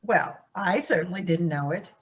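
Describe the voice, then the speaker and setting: A woman in a staged play reading, playing a kindly, modest old woman with a gentle, genteel manner. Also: on the low side, lively intonation, moderate pace, natural voice. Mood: gushing.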